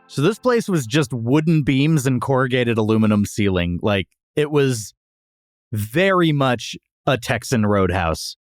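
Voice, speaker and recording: A man's voice: mid-range voice, animated, a little slow, dry recording, clean studio sound, soft background music. A man hosting a casual comedic review podcast, talking in a wry, teasing way. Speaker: podcast host.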